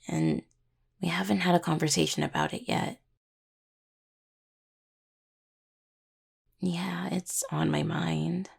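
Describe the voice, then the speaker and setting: A young woman playing a caring, teasing necromancer healer in an intimate audio roleplay, with a husky voice and conversational delivery. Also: lowish voice, heavily acted. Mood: tender, hesitant. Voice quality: husky, gravelly. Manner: hesitant, teasing, full of pet names.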